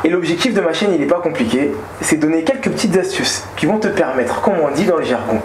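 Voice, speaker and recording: A man's voice: a little high, expressive, fast talker, roomy sound, slight background noise, rough recording. A man, a mortgage broker, hosting an upbeat casual interview and addressing the viewer directly. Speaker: mortgage broker and channel host. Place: inside a building.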